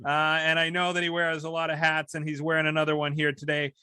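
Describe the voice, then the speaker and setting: A man's voice: a little high, monotone, fast talker, pushed and bright, clear, resonant tone, dry recording, studio quality. A man hosting a podcast interview in a conversational way. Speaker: podcast host and hockey broadcaster.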